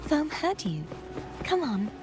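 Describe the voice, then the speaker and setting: A woman playing a reserved, steadfast young maiden in a video game, speaking in an earnest, measured way. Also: high voice, sing-song, full character voice. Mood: gentle, trusting. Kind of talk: scripted video game voice acting. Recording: music under the voice.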